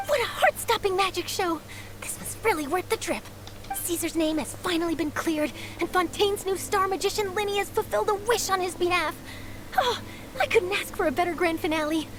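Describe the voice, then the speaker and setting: A woman voicing an excited female news reporter who gushes and sounds pleased with herself. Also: extremely high pitch, brisk, noisy background. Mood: delighted.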